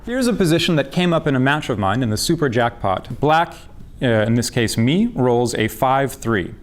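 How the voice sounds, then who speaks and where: A man's voice: medium pitch, sing-song, normal speed. Methodical man explaining a game position. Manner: methodical, patient, with fillers. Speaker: backgammon teacher.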